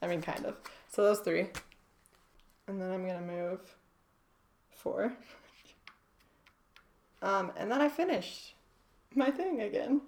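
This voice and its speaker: A woman's voice: medium pitch, slow pace, pauses often, a little mellow. A young woman co-hosting a podcast in casual chat, joking and sarcastic, often laughing. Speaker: podcast co-host. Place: small room, mic on table. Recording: faint background noise.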